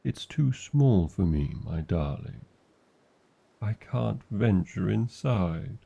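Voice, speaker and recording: A slightly muffled recording carries a very dark, mellow, gravelly British dragon voice, acted out by a man. He is a huge, proud and protective lover with old-fashioned, theatrical phrasing. His wry disdain sits beside tender endearments, as in a fantasy audio roleplay. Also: lowish voice, animated, slow, heavily acted. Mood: worried, tender.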